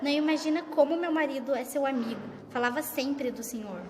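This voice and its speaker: Woman acting an anxious, high-voiced lover, echoey hall. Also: brisk, close to an everyday voice. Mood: polite. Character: anxious, pleading married woman in a secret affair. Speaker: student actor in a school radio play. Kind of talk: amateur radio drama acting. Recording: noisy, echoey hall recording.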